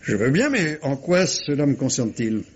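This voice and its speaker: A man acting a courteous but haughty, misanthropic gentleman in a 1950s-style French radio drama, with polished, disdainful manners. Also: mid-range voice, very animated, moderate pace, slightly rough. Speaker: actor in a radio mystery. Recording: dull, band-limited vintage radio recording.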